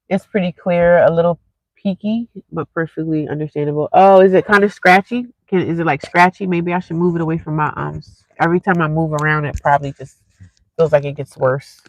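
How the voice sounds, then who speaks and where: Congested young woman, rambling live-stream chat, cheap earphone mic, gravelly edge, dry close sound. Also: deep voice, fairly quick, no echo, poor audio. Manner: rambling, chatty, talks straight to viewers.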